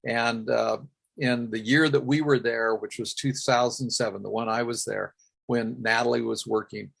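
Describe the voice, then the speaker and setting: A man's voice: mid-range voice, gravelly, husky, rough recording. A man presenting at a workshop, recounting a field project and answering questions, with frequent "uh" fillers and self-corrections.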